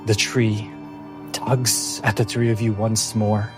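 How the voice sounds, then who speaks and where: A man narrating a fantasy tabletop game as game master, in a deliberate, dramatic way with pauses. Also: on the low side, little pitch movement. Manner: slow, deliberate, pausing phrasing. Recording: music playing behind the voice. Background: music.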